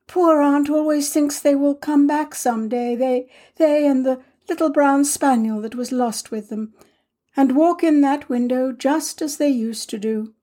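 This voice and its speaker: A woman's voice: high-pitched, a little slow, warm tone, voice pushed up. A woman voicing a self-possessed teenage girl who tells a tragic tale in a confiding, faltering, dramatic way for a storybook reading. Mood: wistful, faltering. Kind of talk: storybook character voice in audiobook reading.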